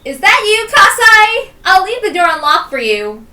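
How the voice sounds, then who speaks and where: A woman's voice: extremely high pitch, very animated, normal speed, sharp tone, voice pushed up, exaggerated voice. A woman voicing a brash, teasing, independent young twin sister from a visual novel. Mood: calling out. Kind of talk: visual novel character reading. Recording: roomy, distant mic with faint noise.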